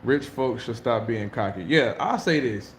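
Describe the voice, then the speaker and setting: A man's voice: medium pitch, lively intonation, measured pace. An American man hosting a casual livestream, talking loosely in slang and laughing often. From United States. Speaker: livestream host. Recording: echoey room with faint noise.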